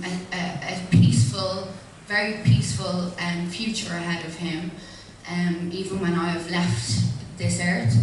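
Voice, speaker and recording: A woman in her thirties with a Dublin Irish accent, speaking informally and hesitantly, with many ums, as a candidate at a hustings. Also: mid-range voice. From Ireland. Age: 30s. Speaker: independent candidate. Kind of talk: speech at a hustings. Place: public hustings hall. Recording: echoey hall from far off with faint noise.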